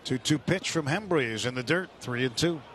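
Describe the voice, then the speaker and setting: A male baseball play-by-play announcer with a mid-range voice. He narrates the game live for radio from a stadium booth. There is clear outdoor background noise throughout. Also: mid-range voice, expressive, a little fast, fairly bright tone.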